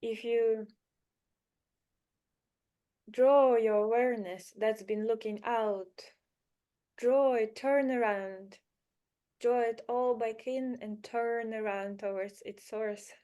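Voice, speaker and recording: Woman doing guided meditation, really slow, soothing, short phrases w lots of pauses. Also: a little high, steady tone, mellow, clear, resonant tone.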